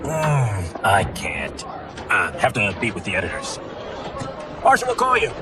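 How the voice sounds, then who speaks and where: An actor playing an awkward, evasive movie director in a video game scene, hesitant and placating. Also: male voice, on the low side, huge pitch swings, a little slow, distant mic, background noise. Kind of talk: cinematic video game acting.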